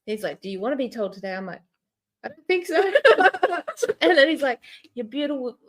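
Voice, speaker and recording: Fairly high-voiced woman telling anecdotes. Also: sing-song, normal speed. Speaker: podcast co-host. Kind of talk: casual anecdotal chat.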